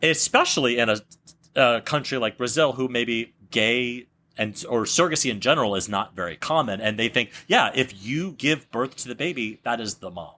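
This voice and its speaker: A man's voice: medium pitch, animated, normal speed, sharp tone. A man hosting a podcast, asking his guests questions in a wry, teasing way. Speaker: podcast host. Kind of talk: casual chat.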